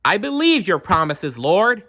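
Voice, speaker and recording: An eager young storybook boy, as acted by a man reader. The voice is lifted high and moves around a lot. He sounds earnest and excitable, like a child in a Bible tale. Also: measured pace, exaggerated voice. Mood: earnest, joyful. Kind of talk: storybook character voice.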